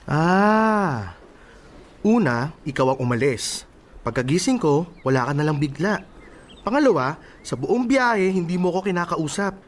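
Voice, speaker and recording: A man's voice: a little high, sing-song, a little slow. An actor playing a caring, easily exasperated young man devoted to his grandmother in a radio drama, earnest and mildly scolding, with a Filipino accent. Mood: reproachful.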